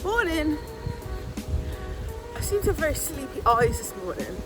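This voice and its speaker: A woman with a British accent, chatting casually and self-mockingly to her phone camera, laughing often, her voice a little croaky and sleepy. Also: sits high, very animated, normal speed, over loud music. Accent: British English. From England. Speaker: vlogger. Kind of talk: casual vlog chat.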